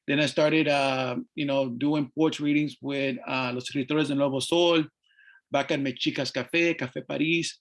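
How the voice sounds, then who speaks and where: A middle-aged man from Mexico, speaking on an art panel and telling his story in a halting way, with many fillers and restarts. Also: medium pitch, steady tone. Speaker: panelist, artist. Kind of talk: panel discussion, personal story.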